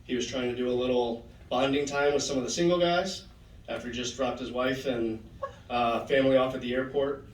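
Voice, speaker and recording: A man's voice: medium pitch, a little fast. A man giving a heartfelt tribute to a former teammate, telling stories and quoting him. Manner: storytelling, animated quoting. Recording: roomy hall, voice from far off.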